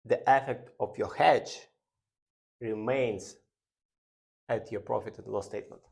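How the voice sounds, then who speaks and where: Slow, halting male finance instructor. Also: on the low side, gravelly. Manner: halting, deliberate delivery in short phrases. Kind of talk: explanatory lecture.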